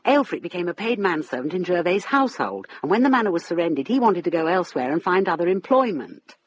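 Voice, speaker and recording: A woman's voice: lowish voice, big pitch swings, fast talker, husky. An actress playing a troubled middle-aged widow in a radio drama, earnestly explaining her household's affairs. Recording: muffled band, noticeable hiss.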